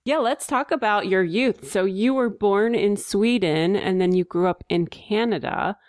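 A woman's voice: medium pitch, measured pace. A woman co-hosting a design podcast who introduces the guest and asks him questions. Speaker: podcast co-host. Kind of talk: interview hosting.

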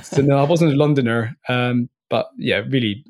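A man's voice: medium pitch, normal speed. Interview guest: man, forties, from France, blended French and Northern Irish accent, easygoing, repeats words as he thinks. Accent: mixed French and Northern Irish. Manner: relaxed, frequent restarts and small laughs. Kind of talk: casual interview chat.

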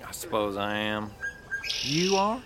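A man's voice: lowish voice, big pitch swings, slow pace, music in the background. A man playing a charming Southern flirt. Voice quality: folksy, flirtatious. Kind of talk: audio drama acting.

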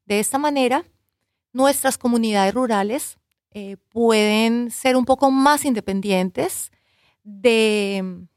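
Colombian woman, higher voice, explaining earnestly. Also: slow, no room sound, clean studio sound. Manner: earnest, explanatory, with some hesitations. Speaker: researcher and university professor. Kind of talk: interview answers.

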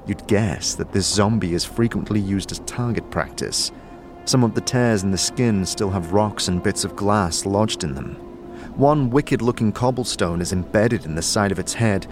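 A man reading scripted audio drama narration in a measured, descriptive storytelling way. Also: lowish voice, background noise.

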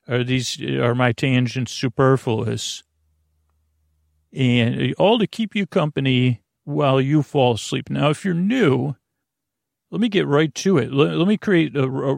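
A man hosting a sleep podcast in a meandering, halting bedtime monologue with self-deprecating asides. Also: medium pitch, creaky, darkish tone, no echo, clean studio sound.

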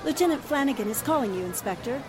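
Actress as an earnest, high-voiced lady reporter. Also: animated, fast talker. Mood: polite, concerned. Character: earnest, dutiful female newspaper reporter. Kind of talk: 1940s radio drama acting.

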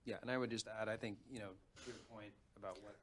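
A man's voice: lowish voice, fairly even intonation, fast talker. A man speaking as a panelist in a conversational policy discussion, arguing in a careful, qualified way.

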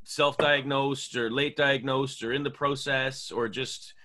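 Casual podcast host, male, Canadian accent, average pitch with a crisp bright edge. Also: fairly even intonation, unhurried, slight background noise. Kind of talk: casual conversational podcast hosting with pauses.

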